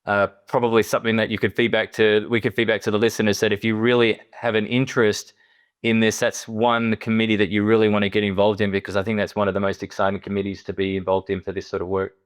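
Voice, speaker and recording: A man from Australia with an Australian accent talks as an interview guest. His voice sits low and sounds smooth and clear. He speaks conversationally and haltingly, often doubling back on his words. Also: level tone. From Perth, Western Australia.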